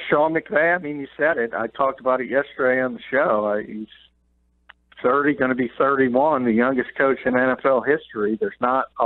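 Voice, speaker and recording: A man calls in over a thin, tinny phone line, his voice dry and close. He breaks down football news in a quick, chatty way with short laughs. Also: medium pitch, dry recording. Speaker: NFL columnist guest. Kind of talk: sports radio analysis by phone.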